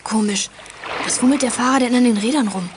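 A woman's voice: fairly high pitch, fairly quick, a little hiss or hum, close to an everyday voice. A woman playing a cheeky, teasing, sceptical teenage girl in a children's radio play. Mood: puzzled. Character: cheeky, mocking teenage girl in detective gang.

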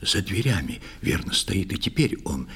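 An actor playing a stern, grave old priest in a verse audio play, declaiming with emphasis and suspicion. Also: male voice, low voice, sing-song, moderate pace, a bit bright, breathy edge, long reverb tail, heavily acted. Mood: suspicious, uneasy.

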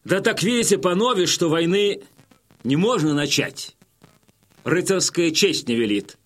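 An actor playing a wily Cossack chieftain who addresses his warriors with measured, folksy, feigned humility in an audio drama. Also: a little high, lively intonation, a bit bright. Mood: sly, humble. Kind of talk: theatrical audio drama oratory.

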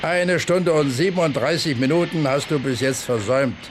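A man's voice: on the high side, moderate pace, slight background noise, natural voice. An actor in a radio drama playing a stoic, plain-spoken older factory foreman, blunt, dry and unyielding. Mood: dry, stern. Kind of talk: German radio drama acting.

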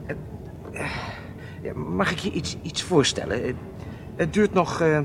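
A man's voice: fairly high pitch, expressive, unhurried, gravelly. A man playing a courteous, sociable secret agent on a train in a radio drama, making polite, slightly hesitant small talk. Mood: curious, tentative. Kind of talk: Dutch radio drama acting. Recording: noisy train compartment ambience.

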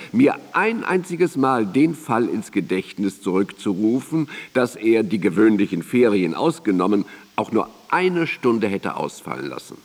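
An actor playing an admiring contemporary who recalls a scholarly friend in deliberate, literary radio drama recitation. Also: male voice, on the low side, heavily acted. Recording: reverberant studio, digitized cassette radio play.

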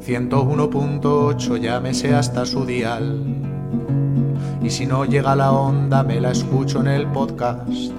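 A man performs a rhymed jingle at an unhurried, rhythmic pace. His mid-range voice rides over prominent plucked guitar. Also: medium pitch, level tone, in a large hall. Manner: measured, rhythmic delivery. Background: loud acoustic guitar music.

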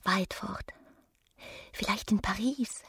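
An actress playing a dreamy, discontented young doctor's wife in a literary radio drama, husky and breathy, intimate, sometimes slipping into whispers. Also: female voice, medium pitch, takes it slowly, pushed and bright, soft background music, heavily acted. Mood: wistful longing.

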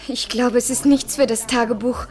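A woman's voice: on the high side, steady tone, moderate pace, background noise, natural voice. An actress playing a naive, tipsy, wistful young woman in a radio play, speaking in a plaintive, searching manner.

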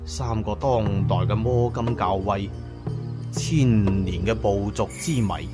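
A man with a Cantonese accent narrating a scripted story in a measured, dramatic, suspenseful storyteller's delivery. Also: on the low side, over loud music. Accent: Hong Kong or Guangdong Cantonese. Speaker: audiobook narrator.

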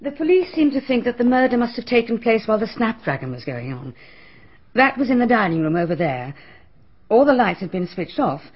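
An actress playing a proper, defensive middle-aged hostess, crisp and emphatic, with a husky voice, in a radio drama. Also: female voice, fairly high pitch, sing-song, a little fast. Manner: crisp, emphatic, slightly indignant. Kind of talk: BBC radio drama acting. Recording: dull archival radio recording.

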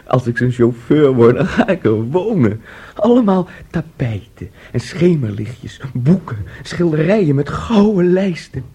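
A man acting an excitable, boastful young son in a radio play, telling things with theatrical eagerness. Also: fairly high pitch, a little slow, breathy edge, heavily acted. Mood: excited, dreamy.

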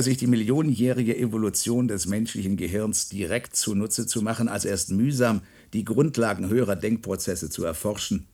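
An actor playing a self-assured, middle-aged scientist who explains in a measured, didactic way in a German science-fiction radio play. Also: male voice, lowish voice, a little mellow, natural voice. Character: self-assured male scientist, explanatory, slightly evasive project leader.

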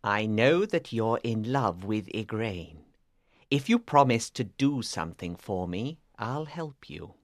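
A man voicing a wise old male magician, speaking quietly and in a measured way, in a storybook reading. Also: fairly low pitch, lively intonation, no echo, clean studio sound. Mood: calm, knowing.

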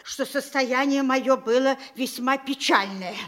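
An actress playing a vain, fussy middle-aged mayor's wife in a theatrical radio play, flustered, exclamatory and bossy. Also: female voice, sits high, unhurried, fairly bright tone. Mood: flustered, puzzled.

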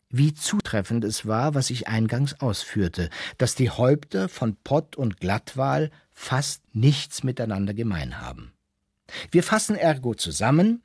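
Husky, animated male narrator. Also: mid-range voice, no room sound, clean studio sound. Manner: theatrical, lecturing, directly addressing listeners. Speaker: narrator of a radio drama. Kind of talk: literary scripted narration, radio play reading.

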